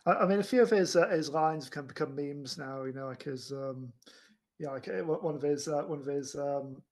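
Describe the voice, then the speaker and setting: Halting man with a slightly high voice. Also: a little mellow. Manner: halting, rambling delivery with repeated starts. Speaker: film podcast co-host. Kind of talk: casual film discussion.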